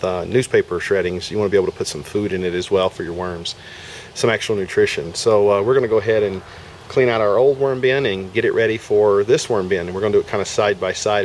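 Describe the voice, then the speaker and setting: A man with a lowish voice explains each step in a folksy, casual way while working with his hands. He is outdoors in a yard, picked up by the camera's own mic. Faint outdoor sound sits behind him. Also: slight background noise. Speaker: homesteading video host.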